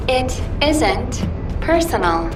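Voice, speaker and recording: An actress playing a composed, authoritative military commander in a video game, speaking in a measured, formal, firm way. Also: female voice, fairly high pitch, very animated. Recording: slightly distant, music clearly behind.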